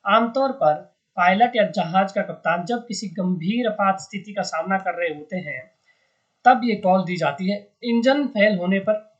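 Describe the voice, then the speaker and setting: Informal news explainer, mid-pitched, didactic and repetitive with frequent check-in tags; muffled, low-fidelity recording. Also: unhurried. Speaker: explainer, educator. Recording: dull lo-fi sound.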